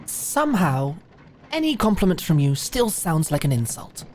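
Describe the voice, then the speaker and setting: A woman's voice: on the low side, very animated, normal speed, a little mellow, a little hiss or hum. A woman voicing a pompous, prickly aristocratic doctor in a medieval audio drama, with formal, precise speech. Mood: haughty, defensive. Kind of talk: medieval fantasy audio roleplay acting.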